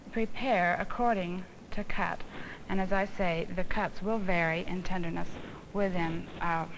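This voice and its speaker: An American woman giving an instructional demonstration, explaining things in a deliberate, didactic way. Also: medium pitch. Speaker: instructional film presenter, home economist. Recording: noisy old instructional film soundtrack.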